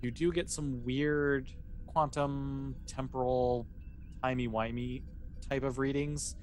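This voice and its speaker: A man running a tabletop sci-fi game as game master, improvising narration and explaining in an informal, halting way with self-corrections. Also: mid-range voice, fairly even intonation. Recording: clearly noisy recording.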